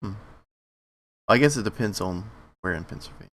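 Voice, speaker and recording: Halting, stammering podcast co-host man. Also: lowish voice, lively intonation, a bit bright. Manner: halting, stammers and restarts, swears casually. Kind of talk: casual chat.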